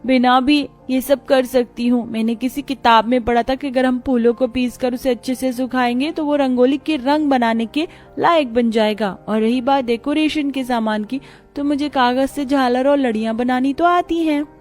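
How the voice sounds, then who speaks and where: A sweet young schoolgirl played by a woman from a cartoon moral story speaks in a very high voice. She is earnest, polite and childlike, with music playing behind her. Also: normal speed, a little mellow, lightly acted. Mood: gentle, understanding. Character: sweet, earnest poor schoolgirl, patient and easily hurt. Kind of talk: Hindi animated moral story dialogue.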